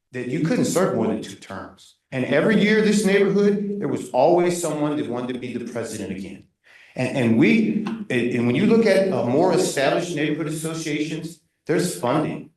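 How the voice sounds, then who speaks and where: A man giving a loud, insistent public comment at a neighborhood meeting, speaking without a microphone. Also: on the high side. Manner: loud, insistent, critical, with frequent restarts. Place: echoey community meeting room. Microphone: room mic at a distance, not speaking into a handheld. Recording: very echoey room, voice far from the mic.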